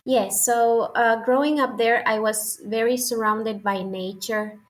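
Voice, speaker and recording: A young woman from Puerto Rico, a native Spanish speaker with a thick Puerto Rican accent, chatting as an interview guest and pausing often to search for words. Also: a little high, steady tone. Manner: hesitant, searching for words, frequent fillers. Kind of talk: casual interview conversation.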